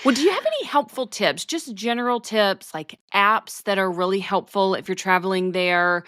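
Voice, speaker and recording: A woman's voice: mid-range voice, animated, normal speed, a bit bright, no room sound. Chatty woman co-host, close dry sound. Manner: chatty, shares her own stories. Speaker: podcast co-host. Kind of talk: casual interview chat.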